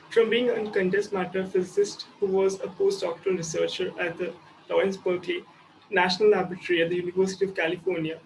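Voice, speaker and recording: A man hosting an interview show, giving a brisk, formal introduction and then asking questions. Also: high-pitched, a little hiss or hum, low-quality recording. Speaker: interview host.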